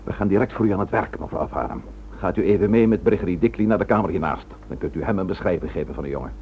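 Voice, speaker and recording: A man playing a measured, formal and firm senior police commissioner in a radio drama. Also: low-pitched, a little hiss or hum. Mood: calm, official. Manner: formal, deliberate, authoritative. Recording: tinny, band-limited old radio recording.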